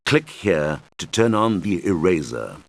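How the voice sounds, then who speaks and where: A man's voice: deep voice, big pitch swings, measured pace, exaggerated voice. A man voicing a helpful video game guide who patiently explains things to young players in scripted instructional lines. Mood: patient, instructive. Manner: patient, clearly explanatory, addressing children. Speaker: game tutorial guide character.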